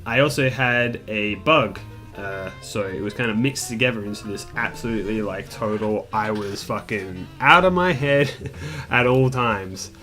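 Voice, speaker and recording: A young man telling a personal story in a casual, rambling, candid way, with mild swearing and self-deprecation. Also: on the low side. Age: young adult. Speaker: gaming video creator telling a personal story. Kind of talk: casual storytime chat over gameplay. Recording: music behind the voice. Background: calm guitar music.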